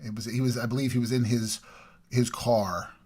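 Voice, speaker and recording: A man delivering an emphatic, opinionated political monologue, from the United States, repeating points and swinging between loud and quiet. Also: medium pitch, fairly even intonation, moderate pace, husky. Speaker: political commentary host.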